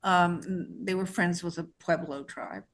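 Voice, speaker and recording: A woman's voice: fairly low pitch, unhurried. Middle-aged woman writer, easy conversational delivery, talks freely about her work and life. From born in New Mexico, raised in New York. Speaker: author being interviewed. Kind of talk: conversational interview.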